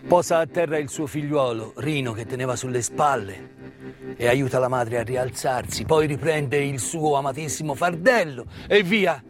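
High-pitched man, dramatic stage storyteller. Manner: intense, emphatic, with repeated words and pauses. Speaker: stage actor-narrator. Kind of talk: dramatic theatrical monologue storytelling. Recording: live stage performance with music. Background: live music.

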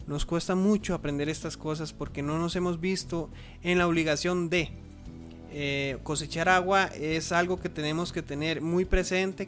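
A man presenting a radio show informally, haltingly searching for his words. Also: on the high side, fairly bright tone. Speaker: host.

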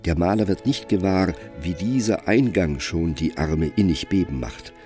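Very deep-voiced male radio play narrator. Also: soft background music. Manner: measured, grave, expressive.

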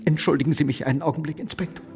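An actor playing an overwrought, emotional grown son in a radio crime drama, agitated and effusive. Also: male voice, fairly high pitch, fairly even intonation, rapid-fire, vocal fry, long reverb tail, over loud music. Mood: distraught, effusive.

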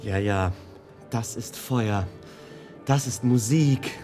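Nervous hall official, male actor: deferential, stammering, mid-pitched, unhurried, for a radio play. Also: mellow, soft background music, natural voice. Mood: flustered, eager. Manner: hesitant, stammering, throat-clearing.

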